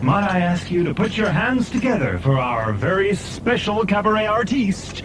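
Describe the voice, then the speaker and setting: Deferential steward for audio drama, played by a man, expressive and slightly high, music underneath. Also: moderate pace, background noise. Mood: showy, grand. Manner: formal, deferential. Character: polite, nervous male train steward and showy announcer.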